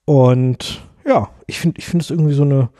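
A man co-hosting a casual podcast, who gives short replies and explains things slowly. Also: medium pitch, dark tone, no room sound, clean studio sound. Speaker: podcast co-host.

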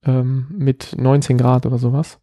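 A man's voice: medium pitch, level tone, unhurried, warm tone, no echo. A middle-aged man from Berlin with a light Berlin accent, rambling through stories in a casual podcast chat. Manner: rambling, self-mocking storytelling. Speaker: podcast co-host.

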